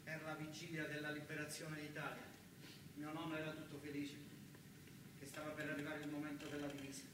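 A man with a southern Italian accent delivers a theatrical monologue in a colloquial way, circling back on phrases for emphasis. His voice is fairly high. It reaches us from a distance in a very reverberant space, under loud noise. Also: monotone, a little fast. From southern Italy. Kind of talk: theatrical first-person narrative monologue with acted dialogue. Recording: very noisy, echoing distant stage recording.

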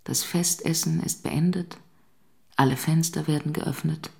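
A woman narrating a radio play from a script in a sober, measured way. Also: steady tone, darkish tone. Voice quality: low, creaky. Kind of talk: scripted narration, present-tense scene description.

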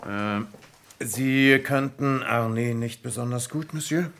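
An actor playing a courteous, sceptical French police commissioner in a vintage German radio crime drama, questioning in a measured way with thoughtful hums. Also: male voice, medium pitch, a bit bright. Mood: courteous, inquisitive.

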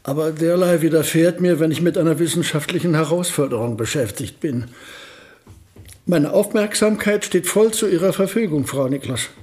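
An actor playing an elderly, absent-minded physicist professor who talks in a measured, lecturing way. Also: male voice, fairly high pitch, darkish tone, close to an everyday voice. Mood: musing. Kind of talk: radio play acting.

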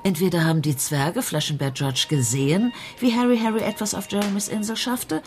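An actress playing a sharp-minded lawyer in a radio crime drama, articulate and analytical. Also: female voice, mid-range voice, fairly even intonation, a little fast, natural voice.

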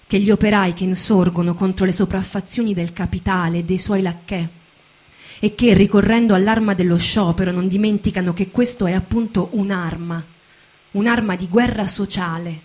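A woman giving theatrical spoken narration in a measured, deliberate way, pausing between phrases. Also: medium pitch, fairly even intonation, a little mellow. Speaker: narrator, performer.

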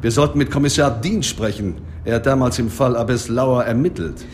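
An actor playing a businesslike police detective in a radio drama, reporting facts in a matter-of-fact manner. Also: male voice, mid-range voice, moderate pace, slight background noise, natural voice. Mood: insistent. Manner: factual, businesslike.

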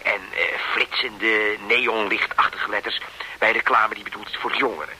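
A man's voice: on the low side, fairly even intonation, moderate pace, sharp tone, rough recording. An actor playing a knowing former policeman who explains things patiently, with frequent tag questions, in a radio drama. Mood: explaining.

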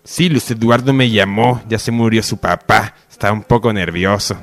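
A man's voice: lowish voice, steady tone, moderate pace, soft background music, lightly acted. A man playing an earnest confidant in a parody radio soap.

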